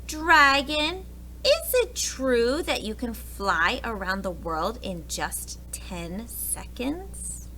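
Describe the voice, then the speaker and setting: Woman as a bold princess, high and bright. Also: big pitch swings, drawn-out words, slight background noise, full character voice, rough recording. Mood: excited, shouting. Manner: loud, eager, challenging. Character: bold, clever storybook princess, flattering and daring.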